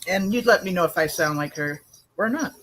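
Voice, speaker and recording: A woman calling in to a talk show, brisk and chatty with laughing asides. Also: fairly low pitch, expressive, fairly bright tone, a little hiss or hum. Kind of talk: casual call-in chat.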